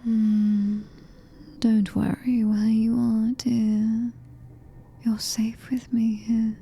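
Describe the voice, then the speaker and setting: A woman with a British accent voicing a possessive, menacing female alien-human hybrid in ASMR horror roleplay, breathy, deliberate and commanding, often whispering. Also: a little high, level tone, mellow, heavily acted. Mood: possessive reassurance.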